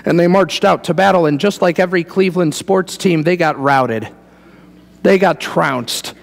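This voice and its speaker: Male American pastor, middle-aged, slightly high-pitched with a creaky edge; folksy sermon storyteller in a big church. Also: moderate pace. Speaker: pastor preaching. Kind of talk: sermon with conversational asides. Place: large church sanctuary, indoors, standing before a congregation. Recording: reverberant church hall.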